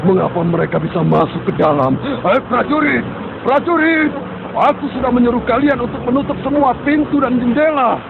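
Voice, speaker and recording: A vain tyrant king in an animated film, his high, gravelly voice cracking as he shrieks at his guards. The sound is thin and phone-like, buried under loud hiss. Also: normal speed, full character voice. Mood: panicked. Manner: frantic, screaming orders. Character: panicking arrogant tyrant king, middle-aged man. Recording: phone-like lo-fi dub with loud noise.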